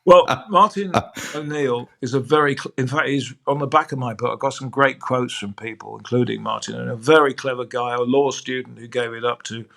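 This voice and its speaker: An older male sports broadcaster with a gravelly edge, recalling stories in a chatty, affectionate way. He often trips over and restarts his sentences as the memories come. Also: mid-range voice, fairly even intonation, a little fast, few pauses, a bit bright. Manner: chatty, fond, with frequent restarts. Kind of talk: anecdotal interview storytelling.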